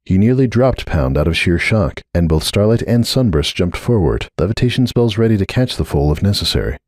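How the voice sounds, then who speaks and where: A man's voice: low-pitched, very animated, a little fast, a little mellow, dry recording, studio quality. A man reading a scripted story aloud as a dramatic narrator.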